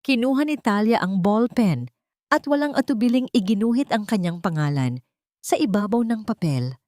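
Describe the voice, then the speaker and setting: A woman's voice: mid-range voice, expressive, moderate pace, mellow, no room sound, studio quality. A woman with a Filipino accent reading a dramatic story as an audiobook narrator.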